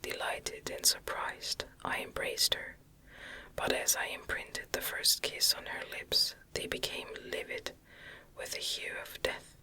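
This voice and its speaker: Breathy whisper, unhurried pace, crisp sibilant edge, close-miked, gothic audiobook reading, ASMR. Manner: slow, deliberate, soft delivery. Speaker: ASMR narrator reading a novel aloud.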